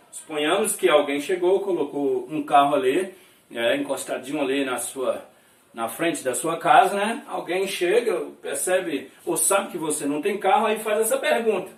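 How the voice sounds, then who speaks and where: A male teacher from Brazil giving a classroom lesson, repeating each phrase and checking in with his students often. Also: high-pitched. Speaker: English teacher. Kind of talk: classroom lesson explaining words. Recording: echoey room, mic at a distance.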